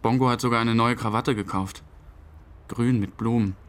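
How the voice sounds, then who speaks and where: An actor playing a numb, grieving widower who recalls events tersely in an interview-like radio drama. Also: male voice, medium pitch, steady tone, moderate pace, close to an everyday voice. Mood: numb, bitter.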